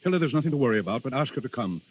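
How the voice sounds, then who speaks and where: Animated, commanding duke, muffled radio. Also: male voice, mid-range voice, racing, slightly rough. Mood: concerned, commanding. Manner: authoritative, urgent, formal. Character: aristocratic Italian duke, father, commanding and protective. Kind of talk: vintage radio drama acting. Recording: muffled old radio recording, music behind.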